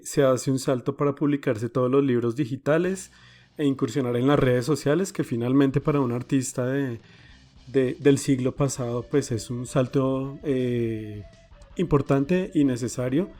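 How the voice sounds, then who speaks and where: A man hosts a podcast in a warm, dark-toned voice, telling the story in a loose, halting way. Faint music plays quietly underneath. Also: medium pitch. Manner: conversational, halting with fillers. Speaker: podcast host. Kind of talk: casual podcast narration.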